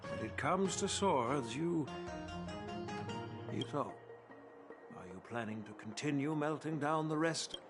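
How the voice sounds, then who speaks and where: A man's voice: fairly high pitch, lively intonation, measured pace, long pauses, loud music behind the voice. A man acting as a doting elderly general and grandfather in a video game, wise and teasing, with an indulgent, theatrical manner. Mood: warm, encouraging. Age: older.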